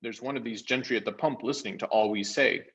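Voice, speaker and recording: A man's voice: medium pitch, fast, a bit bright, lightly acted. A man voicing a grave, decisive young king in a storybook reading.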